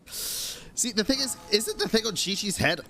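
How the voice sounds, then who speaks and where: A man's voice: sits high, animated, moderate pace, pushed and bright, a little hiss or hum. A man giving live, sweary reaction commentary as a game streamer, given to exasperated outbursts. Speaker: gaming streamer.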